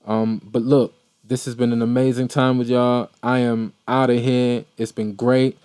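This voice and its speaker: A man hosting a podcast in a loose, rambling way, often restarting phrases and leaning on fillers. His voice is mellow and dark-toned, in a medium pitch, recorded dry and close. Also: little pitch movement, unhurried, smooth, dry recording. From Maryland, USA. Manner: rambling, repeats words, many fillers. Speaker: podcast host. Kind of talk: casual commentary.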